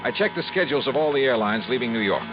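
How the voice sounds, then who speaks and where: A man's voice: medium pitch, animated, lightly acted. An actor playing a wry, hard-boiled male investigator narrating his own case in a 1950s radio drama. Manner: wry, clipped, fast-talking, hesitant when flirting. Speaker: narrator and lead. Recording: dull lo-fi old radio recording with music.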